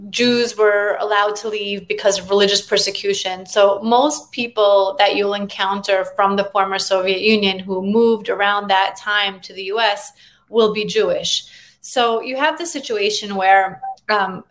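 A woman's voice: medium pitch, fairly even intonation, unhurried. A woman from Russia, a columnist, answering interview questions in an earnest, conversational way. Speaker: guest columnist.

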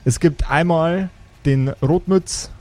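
A man running an improvised tabletop game as game master, speaking haltingly as he searches for words. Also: fairly high pitch, a little mellow.

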